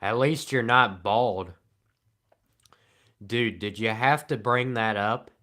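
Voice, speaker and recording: Male live streamer with a lowish, smooth voice, dry sarcastic monotone, pauses often between lines. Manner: long pauses between remarks. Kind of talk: casual live-stream chat answering comments.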